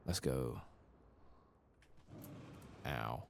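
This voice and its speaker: Man, very low voice, gravelly fry, soft-spoken ASMR gaming commentary, musing to himself. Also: lively intonation, very slow, a little hiss or hum. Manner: often drops into whispers. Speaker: host of a gaming playthrough channel.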